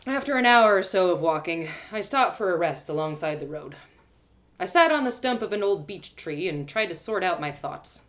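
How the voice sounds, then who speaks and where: A woman narrating her own fantasy audio drama in first person, animated and bubbly, with excited exclamations and hushed asides. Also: lowish voice, normal speed. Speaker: storyteller and host.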